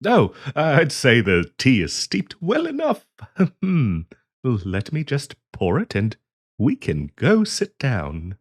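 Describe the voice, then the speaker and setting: A man's voice: medium pitch, wildly swooping pitch, breathy edge, full character voice. A man voicing a courteous, earnest half-dragon diplomat in a roleplay audio, speaking politely and hesitantly to the listener. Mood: light, genial. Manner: hesitant, polite, halting with frequent ums and soft chuckles.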